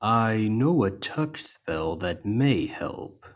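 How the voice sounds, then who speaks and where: Man voicing a deep, slow-talking gentle orc. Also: big pitch swings, muffled recording, full character voice. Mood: calm, caring. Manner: slow, gentle, reassuring, deliberate. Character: towering seven-foot male orc healer, gentle and patient. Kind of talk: ASMR roleplay spoken to the listener.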